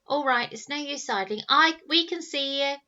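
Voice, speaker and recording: A woman voicing a scruffy, bossy older boy in a storybook, quarrelsome and taunting, with rough colloquial speech. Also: high-pitched, normal speed, sharp tone, muffled recording, voice pushed up. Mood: taunting, menacing.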